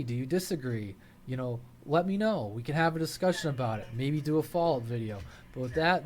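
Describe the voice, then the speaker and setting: A man hosting a hobby video, talking informally and haltingly to viewers in a folksy way. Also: a little high, a little mellow, slight background noise. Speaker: hobby radio channel host. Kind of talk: casual vlog talk to viewers.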